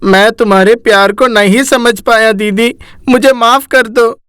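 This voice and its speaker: A woman voicing a young schoolboy, an emotional younger brother, speaking earnestly in an animated moral story. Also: a little high, unhurried, clean tone, no room sound, clean studio sound. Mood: apologetic.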